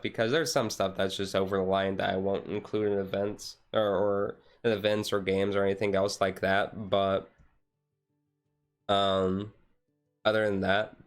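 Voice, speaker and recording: A man with a fairly low, smooth voice talks casually on a chilled-out stream. His delivery stays quite flat in pitch. He rambles and hesitates, often doubling back over a phrase before moving on. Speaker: vtuber streamer.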